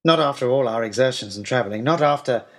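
A man reading a first-person adventure story as narrator, in literary, measured phrasing. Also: medium pitch.

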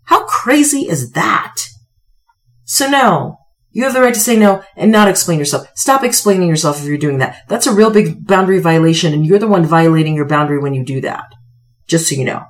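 Female motivational podcast host, forties, lower register, slightly hoarse, emphatic conversational delivery with deliberate pauses. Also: animated. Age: 40s. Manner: emphatic, pointed pauses, rhetorical questions. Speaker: podcast host, self-help coach. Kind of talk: motivational talk to listeners, conversational.

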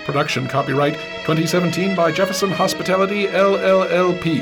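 Higher-pitched man announcing credits. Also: steady tone, fairly quick, creaky, over music. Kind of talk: scripted announcement.

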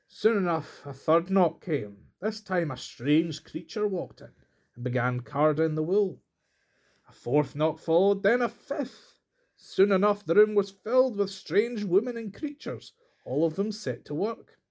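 A male storyteller narrates a traditional tale from a script. His voice is pitched a little high for a man, and he reads it as a storybook narration. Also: a little mellow.

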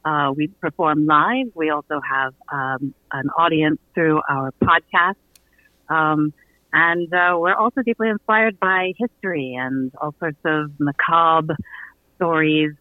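A man's voice: fairly high pitch, a little slow, no echo. A man being interviewed on radio as a guest from a theater company, talking casually. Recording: thin, narrow phone-like line.

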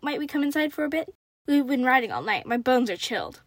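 A smooth-talking young ruffian leads a gang in a western storybook, as a woman reads him. He is light, theatrical and falsely courteous, in a fairly high voice with dry sound. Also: very animated, quick pace, dry recording. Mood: smooth, insinuating.

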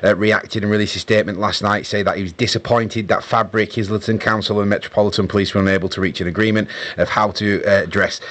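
A man with a fairly low voice hosts a radio interview. He builds long questions haltingly, hesitating as he goes. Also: steady tone, a bit bright.

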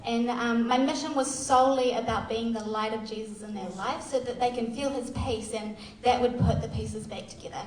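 A woman's voice: on the high side, fairly even intonation, normal speed, hardly any pauses. A woman, giving a candid, self-deprecating church testimony full of fillers. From Australia. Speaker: youth worker sharing testimony. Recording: echoey hall, voice far from mic.

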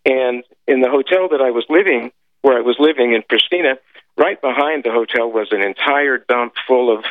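A man whose first language is French, a guest telling his story in a radio interview, pausing often with filler sounds and restarts. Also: medium pitch, no room sound. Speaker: guest, former intelligence officer. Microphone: phone call. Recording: tinny phone line, dry.

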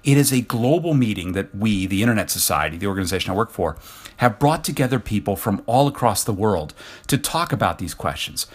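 Male podcast host, American accent, fairly low register. Earnest, informal solo announcer with emphatic phrasing. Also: expressive, a little fast, husky.

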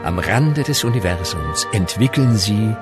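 A grand narrator for a comic radio serial. His voice is low and unhurried, swelling with pompous drama over prominent music. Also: lively intonation. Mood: mock solemn. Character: grand, mock-solemn male storyteller of a cosmic serial.

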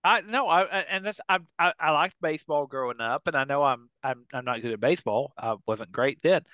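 A middle-aged man with a fairly high, smooth voice hosts a casual chat. He rambles and breaks into his own sentences, recorded dry and close. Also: brisk, pushed and bright, no room sound, lo-fi sound. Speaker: podcast host.

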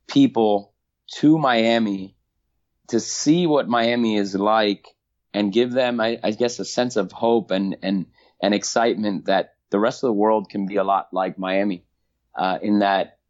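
A middle-aged man answering interview questions about the race he organizes, halting and repeating words as he thinks aloud. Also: lowish voice, a little mellow. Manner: halting, many repeats and fillers. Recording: dull lo-fi audio.

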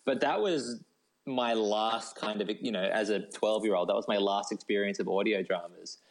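A man's voice: fairly low pitch, moderate pace, poor audio. A man chats as a guest in an interview. He is informal and enthusiastic, wandering through his thoughts and peppering them with "like". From Australia. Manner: rambling, enthusiastic, informal with frequent "like". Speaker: novelist being interviewed as a guest. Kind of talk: casual interview chat.

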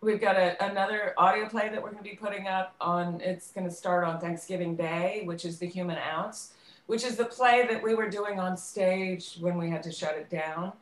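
A woman's voice: lowish voice, fairly even intonation, moderate pace. A woman speaking conversationally as an interview guest who directs and produces theater, stressing points by repeating words. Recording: roomy, echoing sound.

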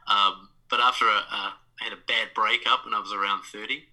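Bright-voiced New Zealand man, reflective. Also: on the low side, fairly even intonation, brisk, rough recording. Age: 30s. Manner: reflective, many fillers and restarts. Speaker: guest, life coach. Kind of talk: casual podcast interview.

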